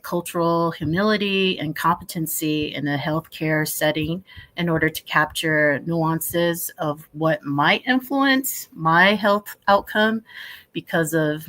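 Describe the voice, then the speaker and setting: A man's voice: fairly high pitch, fairly bright tone. A man speaking earnestly as a panelist, hesitating often with "uh". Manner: earnest, hesitant, frequent "uh" fillers. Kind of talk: panel discussion.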